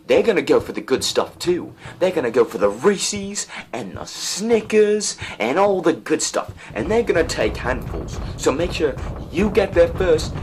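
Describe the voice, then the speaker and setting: A man's voice: fairly high pitch, slight background noise. A man hosting casually and comically, speaking to viewers in a mock-earnest, exclamatory way. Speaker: host.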